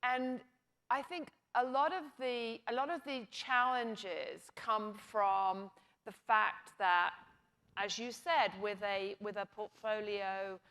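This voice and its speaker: A woman's voice: mid-range voice, slow, a bit bright. A woman speaking on a conference panel, making her case emphatically with rhetorical questions. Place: conference stage.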